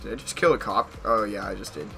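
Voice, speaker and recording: A young American man giving casual gameplay commentary in an excitable manner, repeating short phrases. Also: lowish voice, quick pace, creaky, faint music behind. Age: young adult. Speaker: gaming YouTuber. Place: small bedroom at home.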